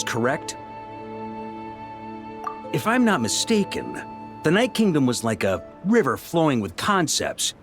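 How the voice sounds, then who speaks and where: A composed, scholarly young knight from a fantasy game. He explains things patiently, and his pitch rises and falls a lot. Game music plays clearly behind him. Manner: calm, articulate explainer.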